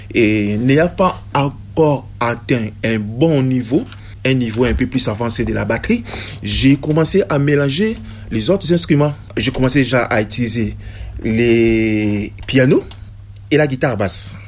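Musicien congolais, congolais, parle en hésitant, beaucoup de euh, voix ni grave ni aiguë. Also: male voice, mid-range voice, slight background noise. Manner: halting, many hesitations and restarts. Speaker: reggae musician guest. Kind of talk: interview answers.

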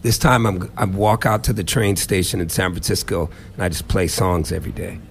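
A man's voice: low-pitched, normal speed, creaky, husky. A middle-aged man from the United States, a musician answering interview questions in a reflective, emphatic way. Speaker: musician guest.

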